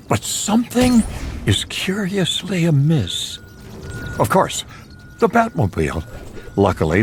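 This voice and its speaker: An actor playing a grandiose, theatrical narrator of a campy 1960s superhero show in a video game. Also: male voice, lowish voice, slight background noise. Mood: suspenseful, dramatic. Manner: theatrical, dramatic, alliterative.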